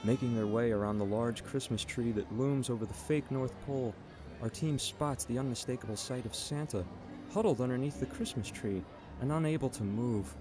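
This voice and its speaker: A man's voice: mid-range voice, close to an everyday voice. A man narrating a radio drama as a scripted storyteller.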